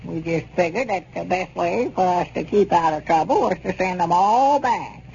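An old radio recording, dull and a little noisy, carries a high-voiced rustic storekeeper. He talks in a folksy rural Southern drawl, excitable and plain, in the manner of 1930s comedy serials. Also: moderate pace, vocal fry. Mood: worried.

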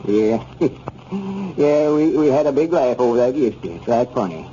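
An actor playing a folksy, gullible, self-important country storekeeper with a rural Southern American accent, rambling and muddling homespun sayings in a 1930s radio comedy. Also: male voice, medium pitch, animated, normal speed, mellow, lightly acted. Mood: sheepish, amused. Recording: muffled 1930s radio recording, faint noise.

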